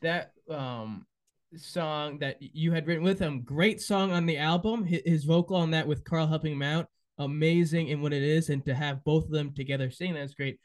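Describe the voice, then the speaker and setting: A male podcast host chats casually with his guest, often answering with quick, eager affirmations. His voice sits a little higher than most men's. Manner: enthusiastic, many short affirmations. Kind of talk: casual interview chat.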